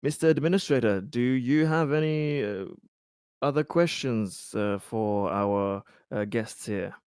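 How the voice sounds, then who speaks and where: A man playing a tabletop roleplaying game, speaking casually and haltingly, often repeating words as he goes. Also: mid-range voice, darkish tone, clear, resonant tone, dry recording, clean studio sound.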